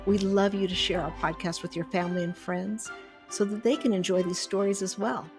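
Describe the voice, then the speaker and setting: A woman's voice: medium pitch, fairly even intonation, brisk, music in the background. A woman hosting a podcast, affirming her guest with frequent encouraging murmurs and closing the episode. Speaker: podcast host. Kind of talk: podcast interview and outro.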